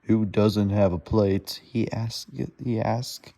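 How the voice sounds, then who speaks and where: A man with a soft, mellow voice reads a children's storybook aloud at a slow pace. His delivery is laboured and halting, and he often stumbles and goes back to correct a word. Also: lowish voice, level tone, slight background noise.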